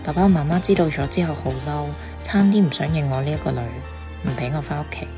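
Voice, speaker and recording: Sincere, deep-voiced young woman acted over music. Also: quick pace. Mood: sorrowful confession. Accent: Hong Kong. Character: sincere, wounded young female arts lecturer, confiding. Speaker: voice actress. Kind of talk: Cantonese radio drama dialogue.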